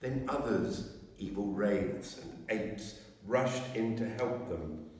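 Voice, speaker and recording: Male storybook narrator, unhurried, dramatic pauses, measured phrasing, distant, long church reverb. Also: lowish voice, expressive, a little mellow. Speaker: clergyman reading a children's story aloud. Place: inside a church, distant microphone. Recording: very echoey church, far from mic.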